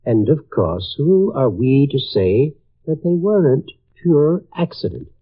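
A man's voice: fairly high pitch, very animated, slow pace, full character voice. An American man playing a pompous, sententious college dean, smug and self-deceiving, in a 1950s radio drama. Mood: smug, evasive. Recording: tinny vintage radio recording.

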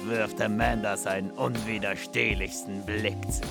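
A man's voice: on the low side, flat delivery, moderate pace, gravelly, over music. A man playing a pompous, grumpy narrator of a comic detective audio drama, speaking theatrically. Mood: solemn. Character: pompous, grumpy male storyteller of a detective serial.

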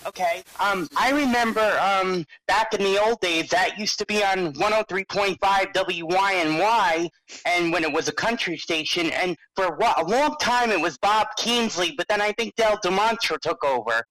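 A male caller chats casually on a radio show. His voice is high and bright, speaking quickly with a dry sound. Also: no room sound, poor audio. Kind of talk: casual recollection.

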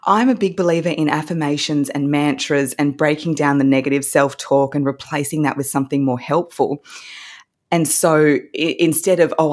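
An Australian woman talks through her advice in an easy, animated way, laughing now and then. Her voice sits low and a touch husky. She sounds like a voice coach chatting on a call. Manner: warm animated explainer, laughs often. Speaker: voice coach guest. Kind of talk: conversational interview.

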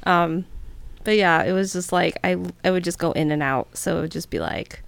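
A woman's voice: fairly low pitch, normal speed, gravelly, no room sound. A woman co-hosting a podcast in casual chat, rambling and laughing often. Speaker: podcast co-host. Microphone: studio mic.

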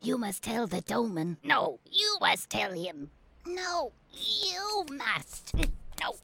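A high-voiced, slow alien minion, played by a woman, timid and halting. The delivery is nervous sci-fi audio drama, with noticeable background noise. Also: very animated, vocal fry, bright, forceful tone, heavily acted. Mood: nervous, bickering. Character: timid alien underling nervously reporting to the ruler.

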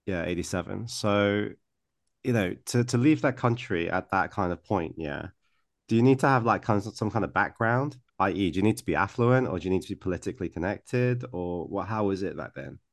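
A man's voice: low-pitched, expressive, brisk, creaky, dry recording, studio quality. A man hosting a podcast interview, asking loose questions padded with many fillers. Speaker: podcast host. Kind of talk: casual interview chat.

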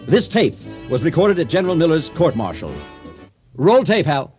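A man's voice: on the high side, sing-song, normal speed, darkish tone, faint music behind. A man acting as a theatrical, showman-like narrator of a science fiction radio drama. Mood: narrating, dramatic. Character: folksy, theatrical storyteller presenting a space tale.

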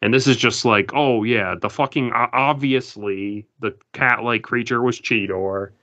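A man's voice: mid-range voice, steady tone, unhurried, sharp tone. A man co-hosting a casual podcast chat, talking loosely with lots of fillers. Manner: loose, filler-heavy talk. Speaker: podcast co-host. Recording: dull lo-fi audio.

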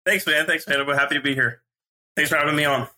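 Young Texan man, very bright voice. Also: fairly high pitch, fairly even intonation, fast talker. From Texas, USA. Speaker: guest, esports journalist. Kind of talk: interview answers.

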